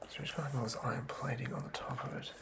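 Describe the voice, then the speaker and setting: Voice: medium pitch, steady tone, fast. A person whispering unscripted ASMR, murmuring hesitantly and thinking aloud while working on a tabletop game monster. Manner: hushed, hesitant, murmuring to self.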